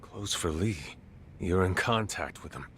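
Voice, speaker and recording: An actor playing a stern game investigator who asks blunt, clipped questions. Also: male voice, sits low, unhurried, a bit bright, breathy edge, heavily acted.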